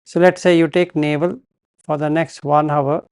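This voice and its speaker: A man guiding a meditation as a teacher, giving calm, measured instructions in short phrases with pauses between them. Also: a little high, smooth, dry recording, studio quality.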